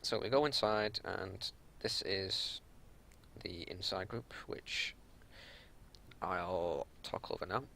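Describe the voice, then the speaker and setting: A man giving unscripted gameplay commentary as a raid leader, explaining tactics in a matter-of-fact way over a home recording with some low rumbling noise. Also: slow, creaky, bright, forceful tone.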